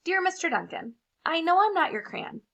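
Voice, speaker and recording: A woman's voice: very high voice, big pitch swings, moderate pace, a bit bright, muffled recording, pitched above the performer's own voice, full character voice. A woman voicing a desperate, indignant chunky toddler's crayon pleading in a storybook.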